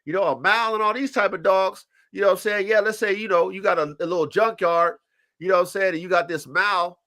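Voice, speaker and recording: A congested man hosting a live stream, his voice sitting a little higher than most men's. He talks in an animated, profane way, slipping into quoted voices of dogs and owners. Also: fairly quick. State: congested from allergies. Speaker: podcast host. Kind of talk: casual chat. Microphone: live stream mic.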